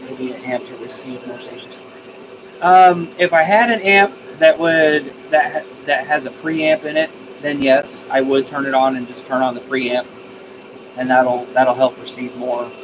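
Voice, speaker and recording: A man's voice: mid-range voice, fairly even intonation, a little slow, noisy background. A man chatting casually on a live stream, answering viewer comments and repeating phrases as he thinks aloud. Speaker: live streamer, radio hobbyist.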